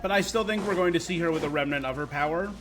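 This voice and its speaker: A man's voice: on the high side, fast, fairly bright tone, poor audio. A man streaming a game, chatting casually and thinking aloud in a rambling, opinionated way. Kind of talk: casual livestream gaming chat. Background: game music.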